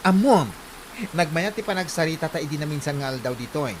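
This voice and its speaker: A woman's voice: low voice, lively intonation, quick pace, noisy background. A woman acting a gushing, gossipy village best friend in an Ilocano comedy drama, with an Ilocano accent.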